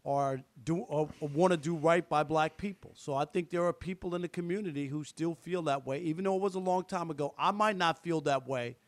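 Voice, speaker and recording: A man in his fifties talking casually and haltingly as a sports radio host. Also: on the high side, no echo. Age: 50s. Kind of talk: casual talk radio discussion.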